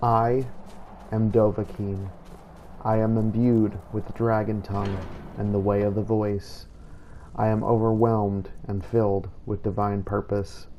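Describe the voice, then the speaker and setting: Gravelly low-voiced man in solemn role-play. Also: level tone, a little slow. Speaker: gamer role-playing a hero. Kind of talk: in-character playthrough narration. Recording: dull, slightly noisy home recording.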